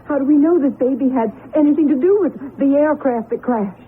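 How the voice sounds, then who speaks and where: A woman playing a middle-aged wife who longs for a child, speaking in a breathless, emotional, halting way in a radio drama. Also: high-pitched, natural voice. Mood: pleading, insistent. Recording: muffled lo-fi radio broadcast with noise.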